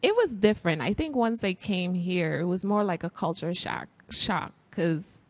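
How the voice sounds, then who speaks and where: A woman's voice: fairly low pitch, lively intonation, moderate pace, no echo. A young woman with a Guyanese accent, chatting as a podcast guest and laughing often as she tells stories. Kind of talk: casual interview chat.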